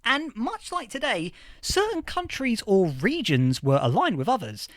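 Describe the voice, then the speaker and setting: An actor playing a history professor from a post-apocalyptic future in a fiction podcast interview, explaining things with emphatic lecturing. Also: male voice, high-pitched, sing-song, measured pace, a bit bright, no room sound, heavily acted. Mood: emphatic, explanatory.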